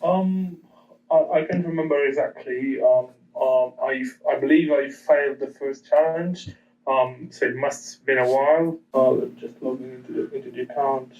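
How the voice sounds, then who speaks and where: Male guest from Portugal, flat hesitant delivery, muffled distant mic. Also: mid-range voice, normal speed. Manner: hesitant, many ums and restarts. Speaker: guest trader. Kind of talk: casual interview answers.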